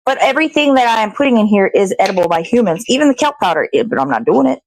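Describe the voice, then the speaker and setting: Creaky-voiced American woman chatting casually. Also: medium pitch, sing-song, fast, dry recording, poor audio. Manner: informal, rambling, sweary. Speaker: host of a morning show. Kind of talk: casual chatty narration while cooking. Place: indoors in a home kitchen.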